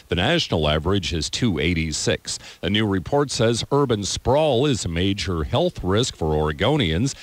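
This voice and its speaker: A man's voice: on the low side, dry recording. A man with an American accent reading a scripted radio news bulletin. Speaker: radio newsreader.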